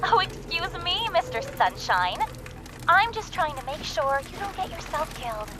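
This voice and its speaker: A protective, sarcastic comrade from a video game. Her voice is high and animated, blunt in delivery, with clear noise behind it. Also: normal speed. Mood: exasperated, concerned. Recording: clear background noise over dialogue.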